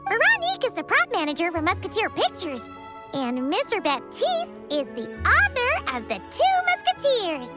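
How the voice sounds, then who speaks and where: A woman voicing a tiny, chirpy, childlike companion creature in a video game with a squeaky voice. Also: wildly swooping pitch, moderate pace, full character voice. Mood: cheerful. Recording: children's music behind the voice.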